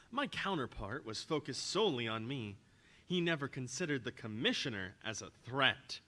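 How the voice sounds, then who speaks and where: A man plays a confident gentleman hero from an old radio serial. He sounds composed and bright, with a lively, animated pitch. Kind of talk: 1930s radio drama acting.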